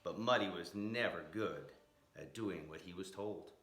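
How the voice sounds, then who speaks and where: A man's voice: deep voice, a little slow, a bit bright, slight background noise. A man reading a picture book aloud in a dramatic, emphatic way, drawing out key words. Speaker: storyteller, blues educator. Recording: echoey room.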